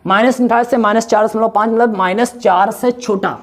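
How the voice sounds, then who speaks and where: A man teaching a math lesson with a hoarse, sore throat. He works through each step, repeats values, and puts questions to his students. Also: high voice, steady tone, a little fast, soft background music.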